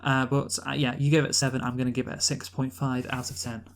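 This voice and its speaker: A man's voice: medium pitch, flat delivery, fast talker. A man co-hosting a casual, unscripted film review talk, giving emphatic opinions and repeating his points. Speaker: podcast co-host reviewing films.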